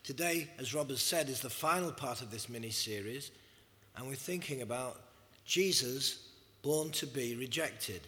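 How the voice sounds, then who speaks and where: A man preaching a sermon in a church, slightly hoarse, in a measured style with scripture readings. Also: medium pitch, pushed and bright. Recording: long hall reverb.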